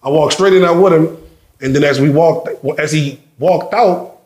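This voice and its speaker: A man's voice: a little high, moderate pace, reverberant. A man telling stories as a guest in a casual interview, acting out what others said and often repeating words. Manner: animated storyteller, acts out quotes, repeats words.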